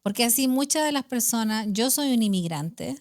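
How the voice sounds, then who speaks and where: A woman's voice: on the high side, animated, moderate pace, slight background noise. A middle-aged woman with a Chilean accent, hosting a casual podcast chat and telling stories with frequent tag questions. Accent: Chilean Spanish. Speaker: podcast host.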